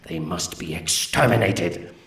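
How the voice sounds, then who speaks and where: An actor playing a solemn, zealous alien elf war leader in a video game, delivering mission briefings in grandiose, archaic oratory. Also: male voice, sits low, animated, a little slow, gravelly, a bit bright, reverberant, exaggerated voice. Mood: grim.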